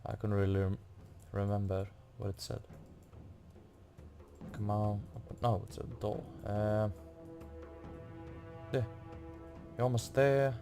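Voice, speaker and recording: A man giving casual commentary as he plays a video game, haltingly thinking aloud. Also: fairly low pitch, flat delivery, music in the background. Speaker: video game player making a playthrough.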